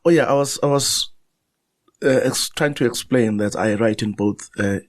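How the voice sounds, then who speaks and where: A man's voice: mid-range voice, normal speed. A man, a Zulu speaker, chatting as a guest writer in a casual interview, thoughtful and anecdotal, often restarting his sentences.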